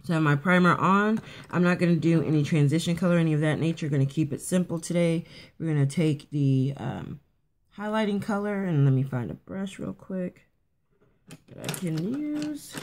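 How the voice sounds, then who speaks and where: Raspy-voiced woman chatting through a makeup tutorial. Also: deep voice, expressive, moderate pace, a little mellow. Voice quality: raspy in the morning. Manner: chatty, rambling, many fillers and restarts. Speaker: beauty vlogger. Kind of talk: casual makeup tutorial chat. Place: at home getting ready for work.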